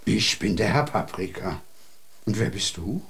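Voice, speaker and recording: A man's voice: lowish voice, expressive, unhurried, vocal fry, a bit bright, exaggerated voice. An actor playing a kindly, pitying bald man in a children's radio play, soothing and caring in manner. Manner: soothing, indignant on the child's behalf.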